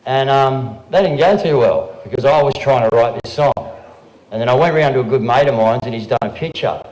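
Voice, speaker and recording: A man's voice: mid-range voice, brisk, darkish tone, muffled recording. An Australian man speaking casually on a live stream, rambling and often checking in with "okay?" and "right?" as he talks into a handheld microphone. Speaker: regular guest, amateur songwriter. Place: live venue room. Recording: roomy live room with guitar.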